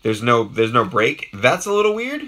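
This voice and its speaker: A young man talks loosely on a live stream, his voice raspy and hoarse from a worn-out throat. His tone is bright and forward, and he wanders between thoughts with lots of filler and restarts. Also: mid-range voice, very animated, moderate pace. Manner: rambling, with many ums and restarts, greeting viewers in chat. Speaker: YouTube host doing a live unboxing. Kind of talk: casual live-stream chat.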